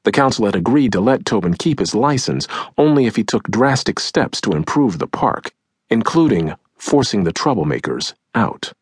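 A man reads scripted nonfiction in a husky voice on the low side. His delivery is measured and dramatic, with a widely moving pitch that gives each phrase shape. He is close to the microphone. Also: very animated, dry recording. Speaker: audiobook narrator. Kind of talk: scripted nonfiction audiobook narration, acting out quotes. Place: close, dry recording studio.

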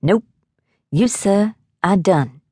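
A woman narrator puts on a male henchman from a storybook thriller. He is folksy and politely blunt, with cool menace underneath. The recording is dry and the pace is unhurried. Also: mid-range voice, expressive, mellow, slightly rough, dry recording, voice pushed up. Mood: cool, dismissive.

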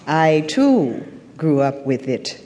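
An actress playing a haughty, sardonic middle-aged African mother of a dictator in an audio drama, speaking in measured, cutting phrases. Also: deep voice, big pitch swings, warm tone, full character voice. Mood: cool probing. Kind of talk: audio drama stage acting. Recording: reverberant theatre-like hall.

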